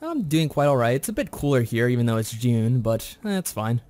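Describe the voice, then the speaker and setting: A man's voice: medium pitch, big pitch swings, fairly quick, darkish tone. A man chatting casually as a podcast co-host and quiz contestant, giving brief, dry, sarcastic replies. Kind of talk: casual chat.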